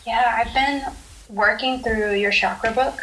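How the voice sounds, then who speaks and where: A woman speaking as a podcast guest, earnest and reflective, pausing thoughtfully between phrases. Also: on the high side, fairly even intonation, a bit bright, a little hiss or hum, low-quality recording. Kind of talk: casual podcast conversation.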